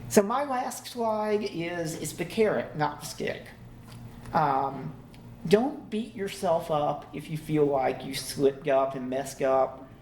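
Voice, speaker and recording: A man giving a relaxed, conversational conference talk to a live audience, laughing easily as he goes. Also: a little high, creaky. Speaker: conference presenter. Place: conference room. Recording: echoey room, faint background hum.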